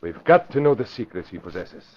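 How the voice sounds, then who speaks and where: A man's voice: lowish voice, sing-song, a little fast, a little hiss or hum. A man playing a menacing, gloating foreign spymaster with stilted, formal phrasing in a 1940s style radio drama. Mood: agitated. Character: male foreign spy chief, agitated, menacing, gloating. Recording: muffled old radio recording.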